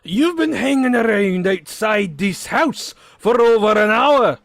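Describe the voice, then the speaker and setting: A man's voice: sits high, lively intonation, measured pace, fairly bright tone, no room sound, clean studio sound, exaggerated voice. A man playing a kindly, teasing policeman in a vintage-style radio play. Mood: fatherly. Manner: fatherly, teasing. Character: kindly, amused male beat cop. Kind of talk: 1940s radio acting.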